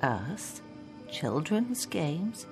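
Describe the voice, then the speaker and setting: A woman's voice: sits low, sing-song, drawn-out words, over loud music, full character voice. An actress playing a timid, doubtful old woman in a radio drama, tentative and questioning.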